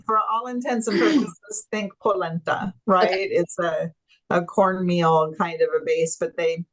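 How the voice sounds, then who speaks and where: Woman host, low voice, enthusiastic casual interviewer. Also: a little slow, poor audio. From Tanzania. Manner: enthusiastic, explains things for viewers. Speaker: webinar host.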